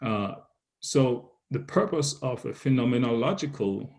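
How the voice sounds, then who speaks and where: A man with a Jamaican accent presenting a lecture on research methods in a measured, explanatory way, stopping to restate key terms. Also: mid-range voice, animated, vocal fry, a little mellow.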